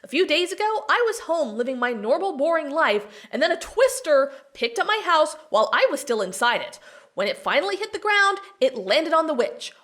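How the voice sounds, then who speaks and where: A woman's voice: high-pitched, very animated, brisk, a bit bright. An actress playing a feisty, exasperated young farm girl in an audio drama, blunt, emphatic and sarcastic. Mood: exasperated, protesting.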